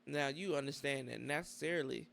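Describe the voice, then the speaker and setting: A man's voice: mid-range voice, fairly quick, vocal fry, a bit bright, a little hiss or hum. A male podcast host asking questions in a casual chat, rambling and often repeating filler phrases. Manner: rambling, repeats "you know what I'm saying". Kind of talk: casual interview.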